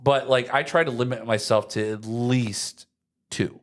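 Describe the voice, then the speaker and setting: A man's voice: mid-range voice, steady tone, a little slow. A young man, chatting casually as a podcast co-host in a rambling, anecdotal, slangy way. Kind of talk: casual chat.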